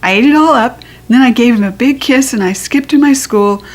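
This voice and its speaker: A woman's voice: a little high, a little fast. A woman with an American accent narrating a children's story expressively, with a playful, childlike delivery. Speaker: storybook reader.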